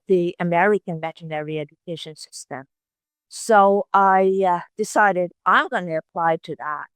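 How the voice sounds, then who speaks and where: An older woman with a Norwegian accent, a veterinary oncologist telling her story as a podcast guest in a reflective, unhurried way. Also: fairly low pitch, no echo. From Norway. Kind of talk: casual interview storytelling.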